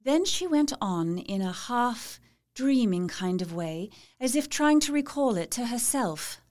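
A woman's voice: fairly high pitch, animated, measured pace, a little mellow. A woman playing a caring, watchful young Victorian woman reading out her journal thoughtfully in a gothic audio drama. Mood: fond.

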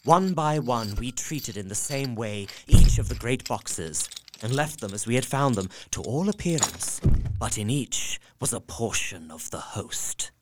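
A man's voice: mid-range voice, lively intonation, a little slow, heavy background noise. A man narrating a gothic drama in the first person, earnest and heartfelt. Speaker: narrator and young husband character.

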